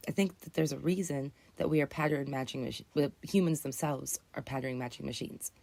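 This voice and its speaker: A woman in her twenties, her voice raspy from just waking up, talks in a low, dark, gravelly tone. She rambles earnestly through her thoughts, correcting herself mid-sentence as she hosts a casual live chat. The sound is dry and close. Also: fairly even intonation, brisk, no echo. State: just woken up. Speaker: livestream host.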